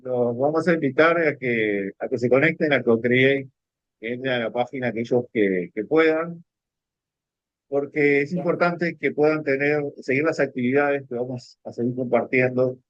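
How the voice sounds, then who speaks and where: A man's voice: mid-range voice, normal speed. Earnest Uruguayan man, dull lo-fi sound. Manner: warm, earnest, many restarts. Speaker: coach and teacher, co-host. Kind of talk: casual conference talk.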